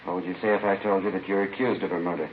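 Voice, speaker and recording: An American actor playing a stern chief of detectives questioning pointedly in a 1950s radio police drama. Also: male voice, low voice, level tone, very fast, lightly acted. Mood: stern, probing. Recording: narrow, hissy 1950s radio recording.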